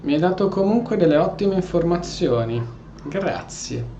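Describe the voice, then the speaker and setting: A man's voice: high voice, expressive, normal speed, dark tone, slight background noise. An Italian man giving casual gameplay commentary as a streamer, often stumbling over and repeating his words. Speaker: gaming streamer. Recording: dull, echoey room recording.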